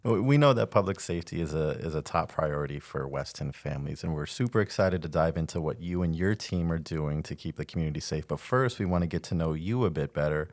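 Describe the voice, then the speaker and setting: A man with a deep voice, co-hosting a podcast. He asks friendly questions with small stumbles, and the sound is dry and close. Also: expressive, no room sound. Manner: friendly, slightly halting questions. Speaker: podcast co-host, city communications.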